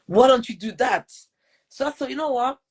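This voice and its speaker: A woman's voice: high-pitched, moderate pace, a little mellow, husky. A woman from Portugal with a French accent, hosting a casual live podcast interview in a chatty, upbeat way. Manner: chatty, upbeat, with many fillers and restarts. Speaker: podcast host.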